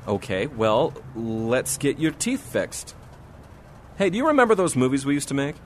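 Man acting caring loyal friend, lively intonation. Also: medium pitch, moderate pace, a little hiss or hum, close to an everyday voice. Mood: gently encouraging. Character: caring, loyal old school buddy, gently encouraging. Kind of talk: scripted radio drama acting.

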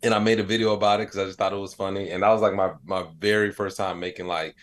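A man's voice: low-pitched, little pitch movement, quick pace. A middle-aged man with an American accent answering interview questions in a casual, laid-back, reflective storytelling way. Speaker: podcast guest, comedian and digital creator.

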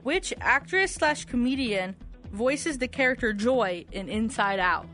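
A woman's voice: a little high, expressive, unhurried, fairly bright tone. A woman reading trivia questions as a quiz host on morning radio, in a studio with music underneath. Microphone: studio radio microphone.

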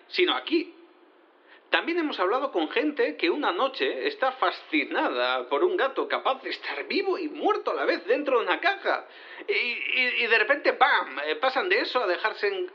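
An actor playing a flustered quantum cat turned lawyer, rambling and hesitant in a comic audio drama courtroom. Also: male voice, high voice, muffled recording. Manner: hesitant, stammering, excitable, rambling.

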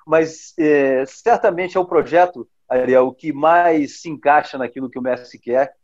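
A man reflects on football in a measured, thoughtful way, with frequent hesitations. His voice sits a little high, and the recording sounds somewhat dull. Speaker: football commentator. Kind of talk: podcast discussion.

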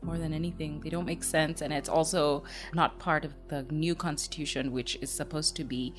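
A woman from Kenya speaks as a podcast guest with a low, deep voice. She answers earnestly and directly, and faint music plays behind her. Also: steady tone, normal speed. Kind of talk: interview answers.

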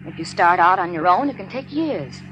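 A woman's voice: fairly low pitch, animated, a little fast, a bit bright. An actress playing an earnest, ambitious young woman lawyer in a 1940s radio crime drama, insistent and wary. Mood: earnest, eager. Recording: slightly noisy 1940s radio recording.

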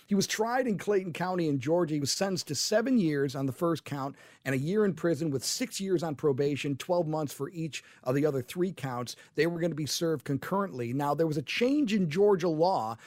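A man's voice: a little high, a little mellow, dry recording. A man hosting talk radio, speaking in an impassioned, rambling way with frequent restarts, into a studio microphone. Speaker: radio talk show host.

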